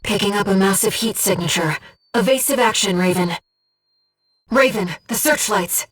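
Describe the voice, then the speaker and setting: A woman playing a gentle, disembodied female mission operator in a video game, earnest and composed, with clearly enunciated tactical callouts. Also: medium pitch, normal speed, distant mic, close to an everyday voice. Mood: composed, briefing. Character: gentle disembodied female voice guiding a mercenary pilot.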